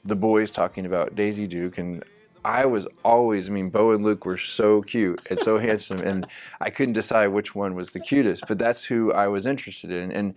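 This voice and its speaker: A man in a personal interview, reflecting on his past and speaking softly on the serious parts. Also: lowish voice, normal speed, soft background music.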